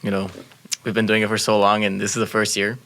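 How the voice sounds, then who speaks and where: Teen male student, native Spanish speaker, deep monotone delivery, earnest and explanatory. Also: quick pace. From Mexico. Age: teens. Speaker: student speech competitor. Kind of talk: casual chat.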